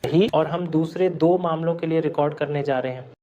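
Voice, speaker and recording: Mellow-voiced man teaching accounting software. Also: on the high side, brisk, dull audio. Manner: explanatory, step by step, frequent "ठीक है?" check-ins. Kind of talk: screen-recorded software tutorial.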